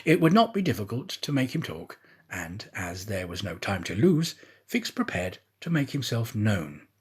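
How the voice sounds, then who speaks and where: Male audiobook narrator, expressive and dramatic, lively intonation, mid-pitched with some huskiness. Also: normal speed, husky. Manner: theatrical, expressive. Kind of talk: dramatic scripted storybook narration.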